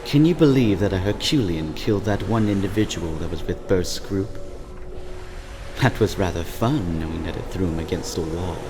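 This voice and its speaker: Low-voiced sly vampire operative played by a man. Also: lively intonation, moderate pace. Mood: callous amusement. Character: callously amused male vampire operative. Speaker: voice actor. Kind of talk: scripted fantasy character dialogue. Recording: clearly noisy background hum.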